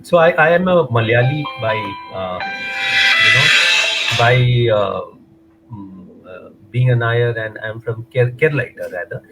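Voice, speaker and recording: Indian English man, 40s, guest on a video call, hesitant and rambling, mid-pitched. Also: animated, measured pace, loud music behind the voice, rough recording. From Mumbai, India. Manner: hesitant, many fillers, rambling. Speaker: guest, runner and motivator. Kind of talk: casual interview chat, mixing in Hindi.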